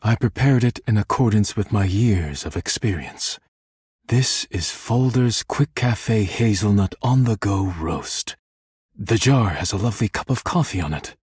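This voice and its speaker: An actor voicing a proud, earnest, reserved poetic dish character in a video game, speaking in formal, measured, grave phrases with a husky voice. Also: male voice, lowish voice, lively intonation, darkish tone, no echo, clean studio sound, heavily acted. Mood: courteous, proud. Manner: formal, measured, grave, carefully worded diction.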